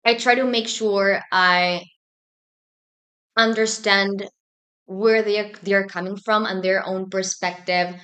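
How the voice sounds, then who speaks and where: A woman's voice: mid-range voice, steady tone, a bit bright. Young woman, thoughtful guest. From Argentina. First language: Spanish. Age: young adult. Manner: thoughtful, measured, frequent ums. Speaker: student society president, guest. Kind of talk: casual interview talk.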